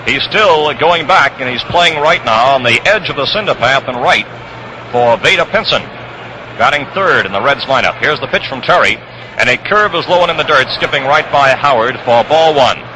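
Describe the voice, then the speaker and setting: A man calling baseball play-by-play for 1960s radio in measured, descriptive phrases. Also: mid-range voice, lively intonation, pushed and bright. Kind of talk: 1960s radio sports commentary. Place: outdoor ballpark broadcast position. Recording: noisy vintage radio broadcast, ballpark outdoors.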